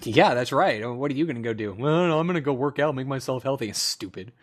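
Young man, podcast co-host, casual rambling chat, mid pitch, laughs a lot. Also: animated, fast. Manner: rambling storyteller, laughs often, many fillers.

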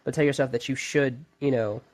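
A man's voice: mid-range voice, fairly even intonation, brisk. Easygoing young man chatting. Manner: easygoing, loosely strung sentences. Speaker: podcast co-host. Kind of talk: casual chat.

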